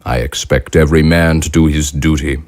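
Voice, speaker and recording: A man in an audio drama playing a cold, calculating wartime leader who speaks in a measured, formal, quietly menacing way. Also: very deep voice, fairly even intonation, dry recording, clean studio sound. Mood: stern, assured.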